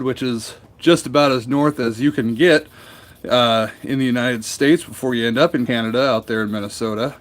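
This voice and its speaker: A man with a nasal voice, a woodturner chatting casually and rambling while live-streaming from his cold workshop. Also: mid-range voice. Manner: rambling and chatty, answers viewer comments. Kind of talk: casual livestream chat.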